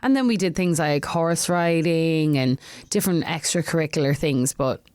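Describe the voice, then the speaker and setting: A woman's voice: low-pitched, moderate pace, darkish tone, clear, resonant tone, no room sound. An Irish woman, a singer-songwriter, talking casually as an interview guest, chatty with frequent laughs and restarts. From Ireland.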